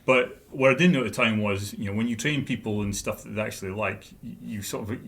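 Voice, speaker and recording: Lower-voiced Scottish man telling stories. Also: quick pace, a bit bright, slight background noise. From Scotland. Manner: chatty, anecdotal, laughs easily. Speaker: guest author and leadership trainer. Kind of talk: casual interview conversation.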